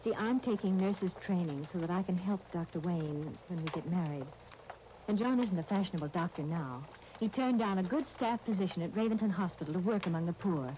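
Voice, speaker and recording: A kind, earnest young woman played by an actress in an old radio soap opera. Her voice sits a little low and she speaks with gentle, reassuring politeness, over the clear noise of a 1930s disc recording. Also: a little fast, close to an everyday voice, low-quality recording. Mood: earnest, appealing. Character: kind, earnest young fiancée training as nurse.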